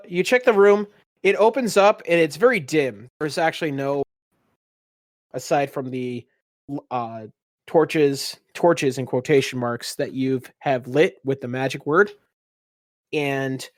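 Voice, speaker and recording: Male game master, higher register, dry recording, halting but descriptive improvised narration. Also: no room sound.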